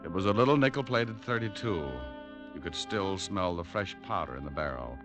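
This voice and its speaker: A man's voice: low-pitched, lively intonation, moderate pace. A man playing a hard-boiled private detective on an old radio show, narrating with dry, sardonic wisecracks. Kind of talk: 1940s radio acting with narration. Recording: old radio broadcast with music.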